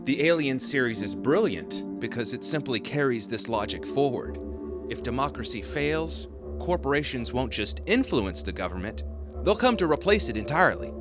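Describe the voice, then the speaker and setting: A man narrating a scripted video essay in an emphatic, grave, deliberate manner. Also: medium pitch, very animated. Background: music.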